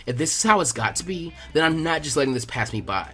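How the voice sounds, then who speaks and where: A man's voice: on the high side, sing-song, fast talker, sharp tone, husky, full character voice. A man voicing a feisty, bossy and anxious teenage ninja girl with emphatic drama in a story reading. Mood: determined. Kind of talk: animated fan fiction character reading. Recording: music behind the voice.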